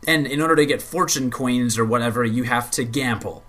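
A man mimicking a shady male casino curator in a deliberately bad, exaggerated Russian accent. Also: mid-range voice, fairly bright tone, lightly acted. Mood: mock-solemn. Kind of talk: mocking impression in casual chat.

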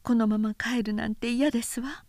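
A woman narrator voicing a proud, high-strung samurai wife who presses and pleads in polite, urgent speech in an audiobook story. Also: fairly even intonation, normal speed, darkish tone, no room sound, studio quality, close to an everyday voice. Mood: insistent pleading.

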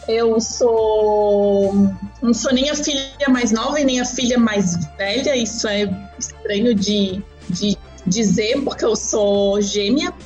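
A woman in her thirties, from Argentina and raised in southern Brazil, talking about her life as a podcast guest in an unhurried, casual way with lots of fillers. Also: mid-range voice, rough recording. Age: 30s. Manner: relaxed, unhurried storytelling with frequent fillers. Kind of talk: casual interview chat.

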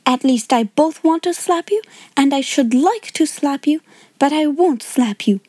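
Woman playing an earnest, high-voiced motherly schoolgirl. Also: unhurried, no echo, studio quality. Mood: restrained anger. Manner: steady, earnest, coaxing. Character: kind, self-controlled, motherly young schoolgirl. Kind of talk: storybook character voice in audiobook.

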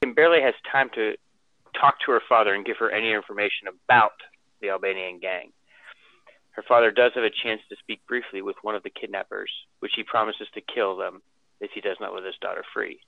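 A man's voice: fairly low pitch, a little fast, gravelly, dry recording. A man co-hosting a casual podcast, reading a film synopsis and chatting loosely over a tinny phone line. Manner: reads the synopsis aloud, then chats loosely. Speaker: co-host.

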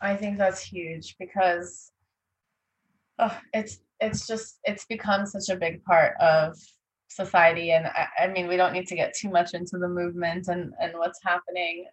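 A woman's voice: on the low side, flat delivery, moderate pace, low-quality recording. A woman hosting a podcast interview, thoughtful and a little hesitant, with lots of fillers. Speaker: podcast host.

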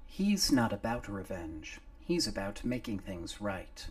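A man's voice: fairly low pitch, very animated, a little slow, distant mic. A man voicing a cool, terse female killer who speaks in a plain, clipped way.

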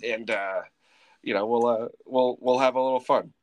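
A man hosting a casual podcast, hoarse and raspy from a head cold, rambling loosely with lots of fillers and casual swearing. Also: mid-range voice, fairly even intonation, moderate pace, slight background noise. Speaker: podcast host. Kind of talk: casual off-the-cuff podcast chat.

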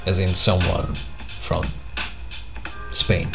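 A man's voice: low-pitched, completely flat delivery, extremely slow, gravelly. Hesitant man. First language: Portuguese. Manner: hesitant, many fillers and restarts. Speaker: podcast host. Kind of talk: rambling solo vlog. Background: faint music.

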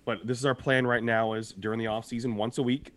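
A young man co-hosting a podcast, his voice a little raspy from shouting, talking in an upbeat, rambling way and laughing often. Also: mid-range voice, level tone, quick pace. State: slightly hoarse from shouting. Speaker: podcast co-host. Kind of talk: casual podcast chat.

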